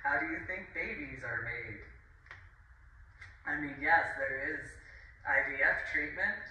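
Chatty woman, bright echoey far-off voice. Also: sits low, expressive, measured pace, a little hiss or hum. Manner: rambling, chuckling, heavy on fillers and dismissive asides. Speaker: vlogger. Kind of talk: casual rambling monologue. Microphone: camera at a distance. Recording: echoey room, far from the mic.

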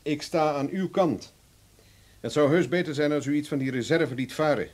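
An actor playing a worldly, blunt investigator in a Dutch radio drama, questioning deliberately and insistently. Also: male voice, a little high, darkish tone, lightly acted. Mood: pressing, insistent.

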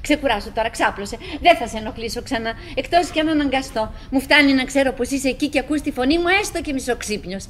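High-voiced wistful wife played by an actress. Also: female voice, close to an everyday voice. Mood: musing, subdued. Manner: musing, rambling, dramatic pauses, swinging from exclamation to murmur. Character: chatty, wistful middle-aged wife talking to her silent husband. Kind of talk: theatrical radio drama monologue. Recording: noisy old radio drama recording.

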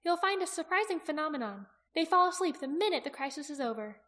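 A woman voicing an excitable, quick-witted young female character in a fantasy audiobook, speaking with emphatic expression. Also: high voice, voice pushed up. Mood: teasing. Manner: exclaiming, emphatic.

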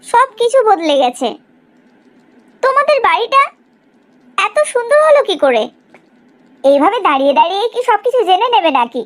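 A woman voicing a proud, sharp-tongued middle-aged village mother in a cartoon story, in an exaggerated, gossipy way. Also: very high-pitched, big pitch swings, normal speed, long pauses. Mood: probing, pointed. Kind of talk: Bengali cartoon story dialogue.

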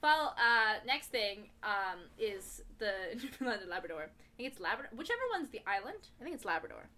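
A woman's voice: medium pitch, big pitch swings, brisk, sharp tone. A young adult woman co-hosting a podcast, ranting casually and comically to friends with giggly, mock exasperation. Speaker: podcast co-host.

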